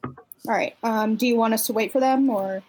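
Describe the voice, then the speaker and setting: A woman's voice: on the high side, normal speed, darkish tone, poor audio. A woman hosting casually on an online voice call, organising and checking in with the group. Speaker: host organising a live stream. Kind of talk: casual chat. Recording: faint noise and clicks, online voice call.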